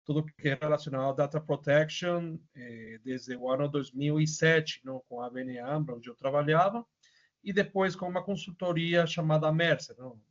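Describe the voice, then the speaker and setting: A man's voice: fairly high pitch, steady tone, moderate pace. Venezuelan-accented man presenting a webinar. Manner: casual, many fillers and tag questions. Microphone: video call. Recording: muffled lo-fi audio.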